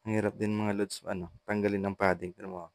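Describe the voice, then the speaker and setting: A Filipino man narrating a casual how-to vlog in a halting, repetitive way, with short trailing phrases. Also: lowish voice, little pitch movement, dry recording, clean studio sound. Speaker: vlogger, intercom installer.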